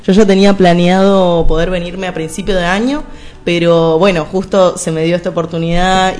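A woman's voice: on the low side, darkish tone. A young woman from Argentina with an Argentine accent, a singer chatting as a radio guest and rambling with restarts and fillers. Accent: Argentine Spanish. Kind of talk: casual radio interview.

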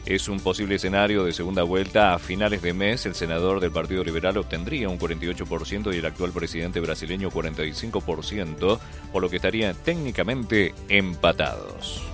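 A man's voice: low-pitched, fairly quick, few pauses, over music. A man reading a formal radio news bulletin. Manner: formal, steady read. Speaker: radio newsreader.

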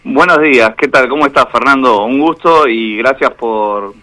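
A man with an Argentine Spanish accent, a boxing referee speaking as a guest over the phone on a radio show, measured and hesitant with many fillers. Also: medium pitch, sharp tone, low-quality recording. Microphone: phone line.

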